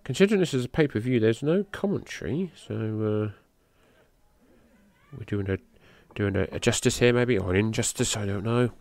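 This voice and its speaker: A middle-aged man, a podcast host giving loose, rambling play-by-play of a match, who swears casually and lifts his voice at the big moves. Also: normal speed. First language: English. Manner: loose, rambling, swears casually, gets excited at high spots.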